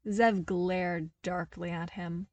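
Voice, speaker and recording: A woman's voice: fairly low pitch, fairly even intonation, slow pace, a little mellow, no echo, recorded from a distance, lo-fi sound. A woman reading a story aloud as scripted narration for a podfic. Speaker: podfic reader. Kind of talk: scripted fiction narration with character dialogue.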